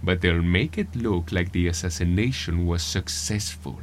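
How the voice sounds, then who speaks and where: A man playing an earnest, scheming Latin American rebel leader, speaking emphatically and deliberately in an audio drama. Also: sits low, a little hiss or hum, close to an everyday voice. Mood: resolute, scheming.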